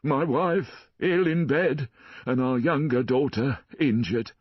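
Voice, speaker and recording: A man's voice: medium pitch, slow pace, breathy edge, no echo, voice pushed up. A man voicing a fawning, scheming poor man who pleads and whines. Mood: pleading. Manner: whining, pleading. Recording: dull dry lo-fi.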